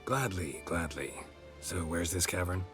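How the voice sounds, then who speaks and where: An actor playing a stoic, dry-witted monster hunter in a fantasy game, with terse, deadpan lines. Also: male voice, deep voice, a little slow, over music. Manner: terse, deadpan, clipped sentences. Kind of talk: video game cutscene dialogue.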